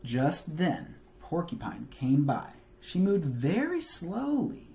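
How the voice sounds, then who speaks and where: A man narrates a children's storybook in a very lively, swinging intonation. His phrasing is measured and emphatic, like a teacher reading to young children. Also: on the high side, creaky.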